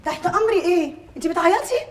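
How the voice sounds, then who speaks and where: Fast, scolding Egyptian matriarch. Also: female voice, extremely high pitch, background noise. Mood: insistent, prodding. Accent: Egyptian Arabic. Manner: scolding, insistent. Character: bossy, motherly mistress of a household, sharp-tongued but fond. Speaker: actress in a drama. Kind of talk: scripted TV drama dialogue.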